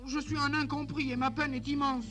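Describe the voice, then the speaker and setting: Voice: sits high, level tone, a little fast, music in the background, natural voice. A performer voicing a dreamy, touchy childlike puppet poet in a children's TV show, declaiming and sulking theatrically. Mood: melodramatic. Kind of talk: children's TV character dialogue.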